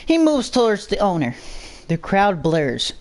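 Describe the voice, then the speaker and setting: A channel host narrating a scripted story aloud in a measured reading style. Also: mid-range voice, very animated, a little mellow.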